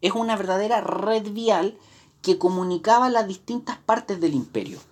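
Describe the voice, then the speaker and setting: A woman's voice: medium pitch, expressive, moderate pace, darkish tone, slightly rough. A woman with a Chilean accent giving a live history lesson, explaining from slides with many fillers and restarts. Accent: Chilean Spanish. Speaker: history teacher. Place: indoors, teaching from slides.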